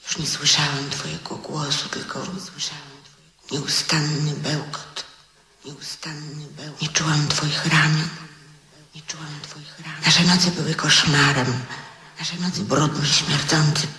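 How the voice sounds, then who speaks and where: A hurt wife's voice from memory in a radio play. It is tense and echoing, reproachful, with each phrase repeated like an incantation. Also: female voice, sits low, little pitch movement, measured pace, pushed and bright. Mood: reproachful, despairing. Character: remembered voice of a hurt, reproachful wife. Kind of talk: 1970s Polish radio drama acting. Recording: echoing radio drama voice effect.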